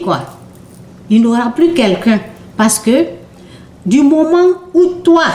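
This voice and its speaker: A young woman talks through her faith at a slow, unhurried pace, her voice sitting a little high. She hesitates, repeats words and keeps asking her listeners "ok?". The room around her is echoey. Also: a little mellow. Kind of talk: informal sermon testimony.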